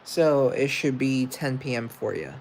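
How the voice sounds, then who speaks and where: A man's voice: mid-range voice, animated, measured pace, darkish tone, slight background noise, poor audio. A man chatting casually on a livestream, reading comments aloud and answering them with self-mocking humor. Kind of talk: casual live chat.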